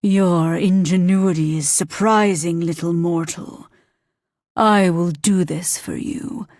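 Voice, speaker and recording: A woman voicing a huge, vengeful male demon lord, speaking slow and menacing in a lowered voice. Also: darkish tone, no room sound, studio quality.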